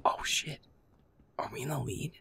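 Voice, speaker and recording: A man playing a sweet, playful young werewolf boyfriend in an intimate audio roleplay, casual and affectionate, with a husky, breathy, gravelly voice often near a whisper. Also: medium pitch, moderate pace. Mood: excited. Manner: casual, swearing, chuckling, affectionate murmuring.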